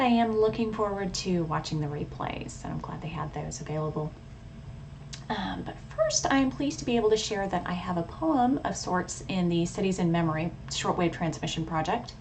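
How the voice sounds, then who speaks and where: A thoughtful, measured woman speaking as a hobbyist vlogger. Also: medium pitch, a little mellow. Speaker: radio hobbyist vlogger and poet. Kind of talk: casual informative vlog talk with poetry reading. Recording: clearly audible background noise.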